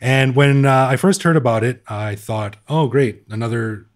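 A man's voice: mid-range voice, normal speed. A man with a North American accent hosting a podcast, casual and joking, laughing often. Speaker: podcast host. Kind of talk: casual podcast chat and interview.

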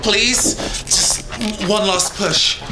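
An actor playing an anxious, earnest young mech pilot in a sci-fi audio drama, speaking hesitantly. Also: male voice, sits high, very slow, roomy sound, background noise. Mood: anxious, straining.

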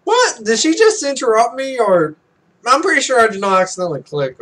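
A man's voice: very high voice, sing-song, a little fast, fairly bright tone. A young man reading a visual novel aloud as a let's play host, offhand, stumbling over lines and adding casual, sweary commentary. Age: young adult.

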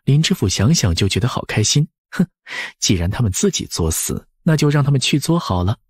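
A man's voice: mid-range voice, big pitch swings, a little fast, husky, no room sound, studio quality. A man narrating a novel as an audiobook, scripted and dramatic.

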